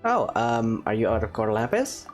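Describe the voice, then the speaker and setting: A woman gamer with an exceptionally low voice, talking casually along with a game. Her intonation is animated, and faint game music plays behind her improvised replies. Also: moderate pace.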